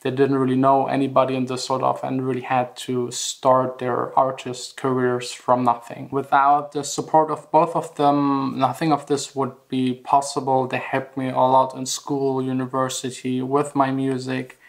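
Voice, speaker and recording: A man's voice: mid-range voice, monotone, moderate pace, gravelly, hardly any pauses. A young man from Germany with a German accent, narrating a personal vlog in an earnest, reflective way. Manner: earnest, reflective, plainly spoken. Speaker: vlogger, house DJ and music producer.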